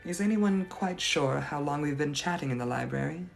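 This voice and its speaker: A man's voice: fairly high pitch, moderate pace, gravelly, soft background music. A man voicing the ghost of a young lady from the 1880s, speaking in a formal, old-fashioned and gracious manner. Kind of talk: improvised live roleplay answering viewers.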